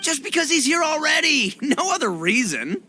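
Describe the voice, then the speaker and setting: A man playing a loud, big-hearted young gang boss in a video game, boisterous and slangy. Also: very high-pitched, big pitch swings, moderate pace, slight background noise, full character voice. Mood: flustered. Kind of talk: animated video game acting.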